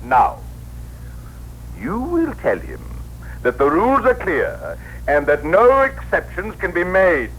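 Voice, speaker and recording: Imperious bureaucrat boss, officious, theatrical pitch movement, old radio drama with faint noise. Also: male voice, wildly swooping pitch, slow pace, long pauses, fairly bright tone, heavily acted. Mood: stern. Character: pompous, irritable bureaucratic director, male boss. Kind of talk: 1960s radio drama acting. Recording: noisy vintage radio broadcast recording.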